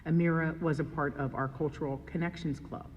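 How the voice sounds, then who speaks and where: A man's voice: on the high side, steady tone, fairly quick, lo-fi sound. Earnest man reading a tribute, hall echo. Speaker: school staff member giving a tribute. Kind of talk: read tribute. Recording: long reverb in a hall.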